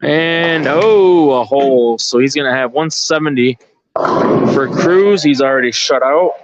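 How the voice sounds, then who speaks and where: A man's voice: medium pitch, moderate pace, no echo. A man giving casual, off-the-cuff live sports commentary, informally thinking aloud as he follows the play. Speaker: bowling tournament commentator and organizer. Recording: dull, lo-fi live stream audio.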